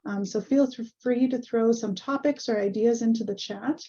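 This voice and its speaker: A woman hosting an online webinar over a video call, a little hoarse, friendly and full of ums. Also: a little high, moderate pace, warm tone. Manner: friendly, many ums and uhs. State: slightly hoarse. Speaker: webinar host. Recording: muffled video call audio.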